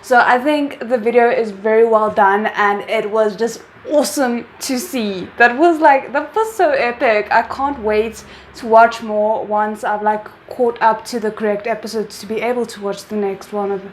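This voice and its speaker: A woman's voice: fairly high pitch, normal speed, slight background noise. A woman hosting a reaction video in casual chat, enthusiastic and gushing about what she watched.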